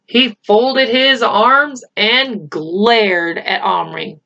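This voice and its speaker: A woman narrates a children's story at a slow pace. Her reading is expressive and unhurried, and the recording is slightly muffled. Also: fairly high pitch, vocal fry. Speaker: storybook reader. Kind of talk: scripted children's book narration.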